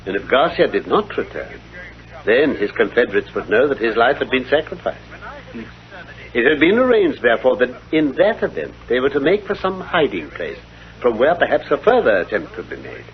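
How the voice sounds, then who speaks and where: A man playing a self-assured British gentleman detective in a vintage radio drama, speaking in precise, formal explanations. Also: medium pitch, sing-song, moderate pace, close to an everyday voice. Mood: reasoning. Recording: dull, lo-fi vintage radio recording.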